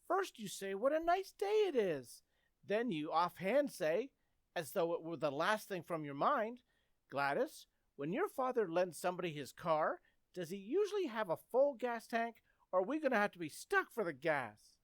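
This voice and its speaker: A woman's voice: mid-range voice, big pitch swings, normal speed, dry recording, lightly acted. A woman playing an impulsive, eager, scheming teenage boy in an old-time radio comedy, with a coaxing, exclamatory manner.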